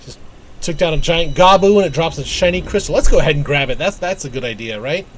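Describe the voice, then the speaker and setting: A man's voice: on the high side, quick pace, slight background noise, rough recording. A man giving casual live commentary on a video game, musing to himself as he plays. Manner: musing, talks to self while playing. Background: game music.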